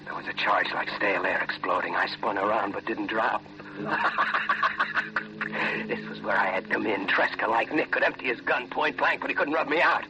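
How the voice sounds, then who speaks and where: A man's voice: on the low side, normal speed, background noise, lightly acted. A man acting a tough, weary crime narrator in a 1940s radio drama, terse, slangy and hard-boiled. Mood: grim. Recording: vintage radio recording with heavy music.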